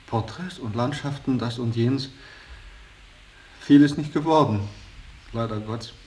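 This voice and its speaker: An actor playing a discouraged former painter reduced to hack writing, respectful and plaintive, in a radio play. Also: male voice, mid-range voice, measured pace, dark tone, lightly acted. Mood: apologetic, resigned. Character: discouraged, dutiful former painter, struggling newspaper writer, younger man. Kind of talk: German radio play acting. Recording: distant, slightly hissy radio drama recording.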